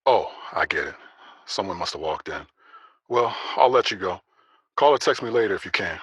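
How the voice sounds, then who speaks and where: A man acting in an audio drama as an attentive, discreet caller with a soft-spoken, considerate manner. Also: sits low, expressive, normal speed, breathy edge, no room sound, highs cut off, heavily acted. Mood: concerned, discreet.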